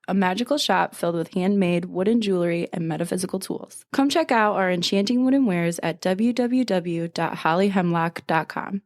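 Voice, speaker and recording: Female ad voice, scripted promotional read, mid pitch, dry close sound. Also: darkish tone, no echo. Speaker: small business owner reading own ad.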